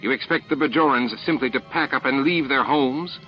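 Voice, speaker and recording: A man voicing a gruff, indignant alien officer in an audiobook, snapping and growling. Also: mid-range voice, brisk, highs cut off, soft background music, pitched above the performer's own voice, lightly acted. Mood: angry.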